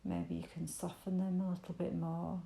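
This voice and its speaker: A woman with a mellow, creaky voice guides a meditation gently and soothingly. Her delivery stays very even and invitational, with unhurried pauses between phrases. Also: lowish voice, reverberant. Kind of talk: guided body scan meditation.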